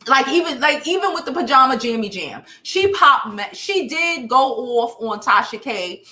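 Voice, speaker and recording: An American woman chats to her live viewers in a high-pitched voice, recorded with noticeable room echo. Her delivery is forceful and bright, full of slang. She comes across as a blunt, sassy commentator talking casually through gossip. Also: big pitch swings, normal speed. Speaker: YouTube livestream commentator and host.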